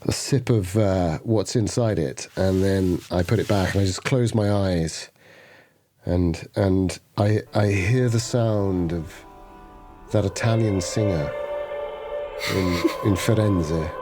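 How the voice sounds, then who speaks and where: A man playing a tabletop game, describing his character in a musing, drawn-out way. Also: low voice, dark tone, faint music behind. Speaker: player. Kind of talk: in-character roleplay narration.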